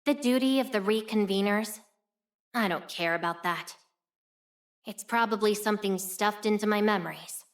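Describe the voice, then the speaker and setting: A woman's voice: medium pitch, moderate pace, close to an everyday voice. Game role: actress plays a young swordswoman of fire, mid-range voice, proud and blunt, with offhand swagger. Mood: solemn, reflective. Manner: cool, cocky, nonchalant delivery. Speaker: video game voice actor. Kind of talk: scripted game character voice lines.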